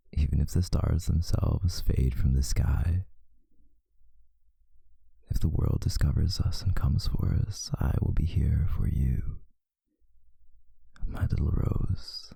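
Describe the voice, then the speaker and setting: A man playing a tender, protective vampire lover in an intimate ASMR roleplay, speaking in a husky, hushed voice with deliberate pauses. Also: no echo, clean studio sound, full character voice. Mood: solemn devotion. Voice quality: very deep, gravelly, husky, breathy, often near a whisper.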